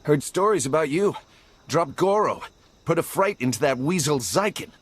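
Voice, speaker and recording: An actor voicing a brash, agitated pirate underling in a video game, rough and slangy in his talk. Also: on the high side, expressive, normal speed. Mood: cocky, defiant.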